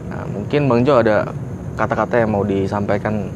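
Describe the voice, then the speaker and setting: A man's voice: on the low side, normal speed, dull audio, over a noisy crowd. A man co-hosting a ghost-hunting vlog, chatting casually on location at an abandoned factory at night. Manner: relaxed, agreeable, lots of fillers. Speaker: co-host of a ghost-hunting channel.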